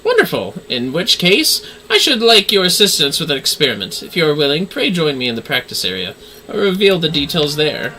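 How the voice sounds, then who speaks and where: A man's voice: a little high, sing-song, brisk, bright, forceful tone, a little hiss or hum, full character voice, poor audio. A man voicing a courteous, eager scholar in a video game, speaking in formal, archaic, eloquent phrases. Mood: polite, eager.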